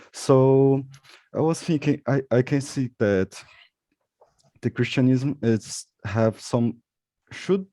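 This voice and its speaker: Brazilian man on a video call, low dark voice, halting English. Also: no room sound. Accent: Brazilian Portuguese. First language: Portuguese. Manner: hesitant, searching for words. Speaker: seminar participant.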